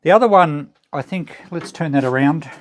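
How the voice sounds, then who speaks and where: A man's voice: fairly high pitch, moderate pace, a little hiss or hum. An Australian man casually narrating as a vlog host, musing and thinking aloud as he goes. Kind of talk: casual narrated walkthrough of a shed clean-out.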